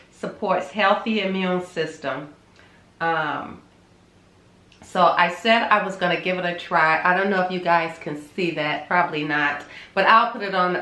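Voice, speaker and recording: A woman's voice: lowish voice, bright, forceful tone, reverberant. A woman with a cold, sounding congested, chatting casually to her vlog viewers in a rambling way with lots of ums. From Chicago. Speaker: vlogger. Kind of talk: casual chat to camera.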